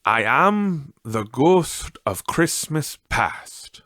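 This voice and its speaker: A man voicing a gentle, solemn storybook ghost, childlike yet ancient, in calm and measured pronouncements. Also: medium pitch, no echo, studio quality, voice pushed up, exaggerated voice. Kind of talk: dramatised storybook reading.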